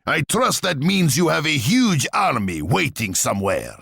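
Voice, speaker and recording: An imperious, scheming tsar from a strategy game, full of menace and sardonic pomp. The voice is gravelly with a hard, forceful edge, and it swings wildly in pitch as he savours each taunt. The recording is close and dry. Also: huge pitch swings, measured pace, no echo, heavily acted. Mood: scornful, imperious. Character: scheming, imperious, wrathful Russian tsar, gloating warlord. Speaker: video game voice actor.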